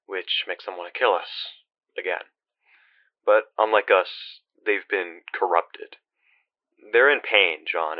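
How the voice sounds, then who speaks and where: A man's voice: low voice, lo-fi sound. A man playing a weary, anxious, sardonic male guide in a video game, talking conversationally with hesitant, muttered asides. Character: weary dead man guiding his friend through purgatory, anxious, sardonic.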